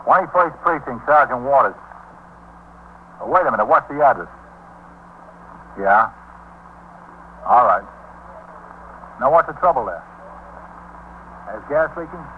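Man acting police desk sergeant, businesslike, brisk, medium pitch, tinny vintage radio recording. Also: animated, natural voice. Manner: brisk, matter-of-fact. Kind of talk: 1950s radio drama acting. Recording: tinny 1950s radio recording, faint hum.

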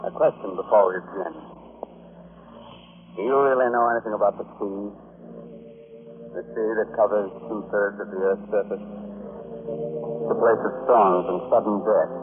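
A man hosting and narrating a 1940s radio mystery with sinister, tongue-in-cheek theatricality and pointed pauses. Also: fairly low pitch, narrow, tinny audio, background noise. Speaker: horror anthology host and narrator. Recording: band-limited old radio recording. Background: dramatic music.

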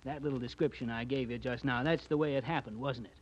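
An actor playing a blunt, hard-nosed American police sergeant in a 1940s radio detective drama, brusque and slangy. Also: male voice, medium pitch, fairly even intonation, fast talker, natural voice. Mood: pressing, accusing. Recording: dull 1940s radio recording.

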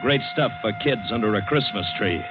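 Actor as low, grim hard-boiled adventurer, muffled radio. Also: male voice, lively intonation, fairly quick, background noise, natural voice. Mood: bitter. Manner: terse, dramatic, wry. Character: grim, hard-boiled male adventurer narrating his own doom. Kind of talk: 1940s-50s radio drama narration. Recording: muffled old radio recording. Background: dramatic music.

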